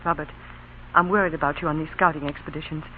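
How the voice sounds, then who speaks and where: A woman's voice: low-pitched, steady tone, fast, lightly acted. An actress playing an anxious, devoted wife and mother, earnest and emotional, in a vintage radio drama. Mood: worried. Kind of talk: 1930s-40s radio drama acting. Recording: tinny, faintly noisy old radio broadcast.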